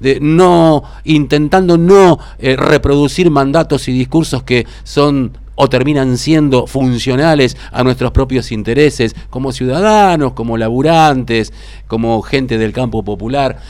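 A man in his fifties with an Argentine Rioplatense accent, co-hosting community radio. He talks in a thoughtful, rambling way, pausing on fillers as he works through his ideas, at a middling pitch. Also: a little mellow. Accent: Argentine Rioplatense Spanish. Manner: reflective, rambling, with many fillers. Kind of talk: casual radio sign-off.

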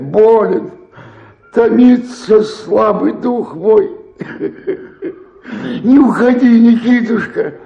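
An actor playing a lonely, drunken comedian of sixty-eight in a theatrical radio play, agitated and lamenting. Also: male voice, on the high side, vocal fry, mellow, muffled recording, exaggerated voice. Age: older. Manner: agitated, lamenting, muttering to himself. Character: drunken, lonely, frightened 68-year-old comic stage actor. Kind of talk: theatrical radio drama acting.

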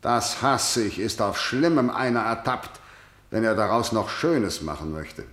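A male actor playing a stern, imperious king, declaiming deliberately in a verse radio drama. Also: fairly low pitch, animated, a bit bright, reverberant. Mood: indignant. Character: stern, imperious king interrogating a defiant prisoner.

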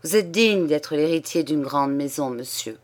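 A woman's voice: low voice, expressive, moderate pace. A haughty old nobleman , as a woman reader puts him on, who speaks with cutting irony and swings from cold scorn to outbursts. His storybook voice carries the weight of an imperious, easily provoked father. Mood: softening, grave. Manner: haughty and ironic, flaring into shouts. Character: proud, hot-tempered old male aristocrat.

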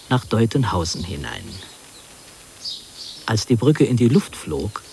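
A man playing a reflective narrator who recalls his wartime youth in measured, literary radio play narration. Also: medium pitch, darkish tone, a little hiss or hum, natural voice. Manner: measured, reflective, carefully phrased. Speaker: autobiographical narrator.

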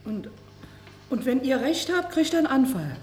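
A plain-spoken wife in a radio drama, worried and openly sceptical. Her voice is fairly high and mellow, with a colloquial, fussing manner. The room rings around her over faint noise. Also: sing-song, normal speed, soft background music. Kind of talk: German radio play acting. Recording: roomy sound, faint background noise.

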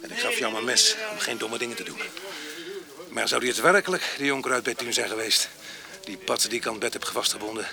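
An actor playing a rough, embittered ex-convict bent on revenge, brooding and dramatic in a radio drama. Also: male voice, mid-range voice, lively intonation, brisk, over a noisy crowd. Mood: brooding, grim.

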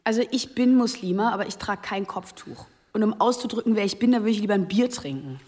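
A woman's voice: mid-range voice, fast, close to an everyday voice. An actress playing an outspoken, provocative young female student arguing in a classroom radio drama. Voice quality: sharp, mocking, confrontational.

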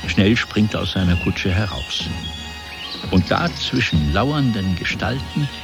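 Very deep, lively male radio-play narrator. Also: measured pace, vocal fry, over music.

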